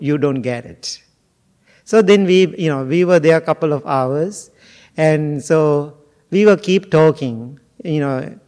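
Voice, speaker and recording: Slow-speaking monk from Sri Lanka. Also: male voice, a little high, a little mellow. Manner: slow, musing, jokes and asks the audience questions. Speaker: Buddhist monk teacher. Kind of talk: informal dharma talk to a group.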